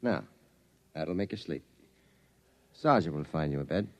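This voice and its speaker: Deep-voiced, calm military doctor. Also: male voice, unhurried, full character voice. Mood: soothing. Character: calm, kindly military doctor, quietly curious. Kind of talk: 1950s radio drama acting. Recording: muffled 1950s radio recording.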